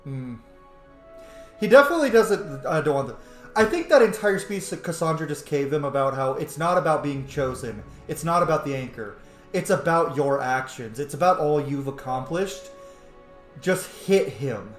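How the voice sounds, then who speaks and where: Guy doing game commentary, kinda high voice, gushes and rambles, super casual, echoey room. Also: lively intonation, normal speed, faint music behind. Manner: effusive, rambling, informal. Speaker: gamer doing a playthrough. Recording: roomy, echoey voice pickup.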